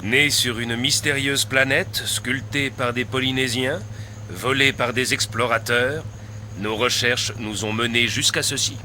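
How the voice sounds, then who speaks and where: Courteous scientist, noisy audio. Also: male voice, medium pitch, steady tone, unhurried, sharp tone. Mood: storytelling, intrigued. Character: courteous, persuasive male scientist, a doctor, recounting mysteries. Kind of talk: dubbed video game cutscene acting.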